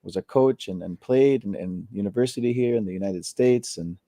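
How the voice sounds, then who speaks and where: A man in his thirties, from Costa Rica, chatting casually as an interview guest, relaxed with many fillers and repeated words. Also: lowish voice, brisk, no room sound. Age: 30s.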